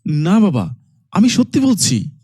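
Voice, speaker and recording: A man's voice: high-pitched, wildly swooping pitch, slow, darkish tone, breathy edge, no echo, studio quality, full character voice. A man voicing an anxious, earnest young village son who narrates and acts in a horror cartoon. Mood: urgent, pleading. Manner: earnest, pleading.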